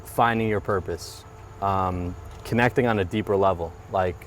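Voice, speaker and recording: A man's voice: low voice, moderate pace, slight background noise. A man in his thirties talking earnestly and reflectively in a casual interview, often repeating his phrases. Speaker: guest, mortgage business owner. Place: outdoors by a parked car.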